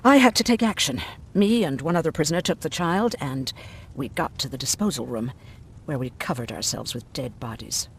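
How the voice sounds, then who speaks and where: Blunt weary survivor in a game, highish voice swinging up and down, faint rumble behind. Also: male voice, animated, moderate pace, creaky. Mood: grave recounting. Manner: blunt, sardonic. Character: blunt, irritable camp survivor, a man weary and sardonic. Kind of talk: video game voice acting.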